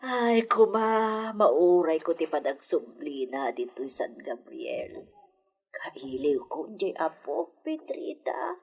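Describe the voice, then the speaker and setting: A woman's voice: deep voice, sing-song, slow pace, breathy edge, exaggerated voice. A woman with an Ilocano accent playing a sentimental, wistful older lady of a hacienda in a radio drama, with birdsong behind her. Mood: longing, hopeful.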